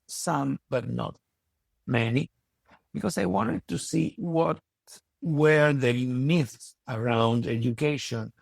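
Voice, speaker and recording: A man with a strong Italian accent speaking reflectively in an interview, pausing to search for his words. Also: medium pitch, gravelly, husky, no echo. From Italy. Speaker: podcast guest and university educator. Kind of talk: conversational interview.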